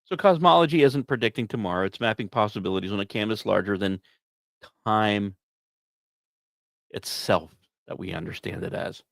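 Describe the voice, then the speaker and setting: A man's voice: fairly low pitch, normal speed, creaky, pauses often, fairly bright tone, no room sound. A man hosting a podcast, narrating scripted science news with wry, self-deprecating asides and theatrical emphasis. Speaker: podcast host.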